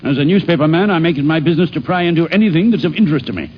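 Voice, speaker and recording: Man acting confident middle-aged gentleman suitor, slightly high voice, earnest, theatrical vintage radio drama, dull sound. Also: animated, racing, a little hiss or hum, close to an everyday voice. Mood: insistent. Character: persistent, confident middle-aged newspaper owner wooing an old flame.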